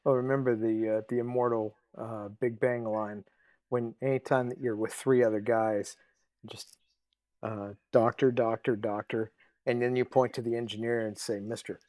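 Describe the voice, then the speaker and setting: Halting male host bantering, dry sound. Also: medium pitch, little pitch movement, darkish tone, no echo. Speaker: interviewer, show host. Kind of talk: casual chat.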